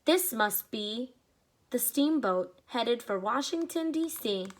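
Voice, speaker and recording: Slow, expressive woman teacher reading aloud. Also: on the high side. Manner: slow, expressive reading with short asides explaining to children. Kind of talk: picture-book read-aloud.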